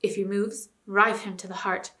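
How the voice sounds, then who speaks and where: A woman's voice: mid-range voice, flat delivery, normal speed, sharp tone, lightly acted. A woman reading a stern, courtly young king. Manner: stern, courtly, old-fashioned speech.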